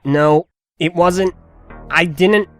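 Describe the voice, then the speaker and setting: A man's voice: fairly high pitch, extremely slow, soft background music. A man playing an earnest, defiant young male hero in an audio drama, with urgent, dramatic delivery. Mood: defiant, shaken.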